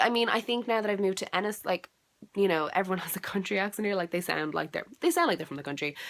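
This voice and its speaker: A woman's voice: fairly low pitch, bright, forceful tone. A young woman with a South Dublin Irish accent and a cold, chatting casually from bed at home, rambling with lots of "like" and "um" and the odd swear. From Ireland. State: has a cold, congested. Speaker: vlogger. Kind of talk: casual chat answering a video challenge.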